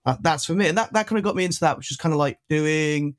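Fast-talking young man, slight welsh lilt. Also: on the high side. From Wales. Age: 20s. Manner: chatty, rambling, full of fillers and restarts. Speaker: guest activist. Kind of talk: casual interview chat.

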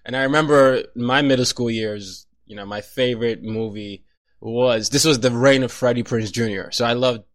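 Lively young man, dry close sound. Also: medium pitch, moderate pace, dry recording. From Nigeria. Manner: laughs often, restarts words, animated. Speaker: interviewer. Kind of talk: casual conversational interview.

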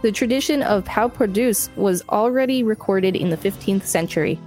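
Woman reading aloud over soft music. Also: mid-range voice, normal speed. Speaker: podcast co-host. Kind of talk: reading an article aloud with casual chat.

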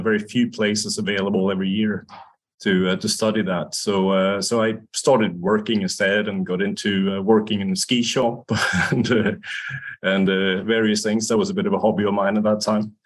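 A man's voice: on the low side, little pitch movement, moderate pace, breathy edge. A Swedish man in his sixties, a native Swedish speaker, telling his story in an interview with many fillers, restarts and laughs. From Sweden. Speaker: guest, company founder. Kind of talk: casual interview talk.

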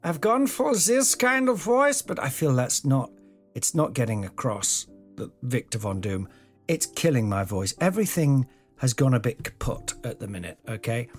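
Croaky man rambling through a solo podcast. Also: medium pitch, big pitch swings, moderate pace, faint music behind. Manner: meandering, self-deprecating, sweary and chatty. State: slightly croaky. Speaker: podcast host. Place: room in an old house. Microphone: home podcast mic without a pop shield.

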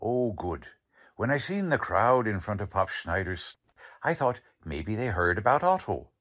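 A man acting as an excitable, good-hearted neighborhood policeman in a 1940s radio drama, slangy and exclamatory. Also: on the low side, a little slow, full character voice. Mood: reassuring.

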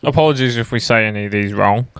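A man's voice: fairly low pitch, fast, a bit bright, clear, resonant tone. A young Australian man co-hosting a podcast in casual chat, with a laid-back, sweary, slangy way of talking. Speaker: podcast co-host. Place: smallish room in a home.

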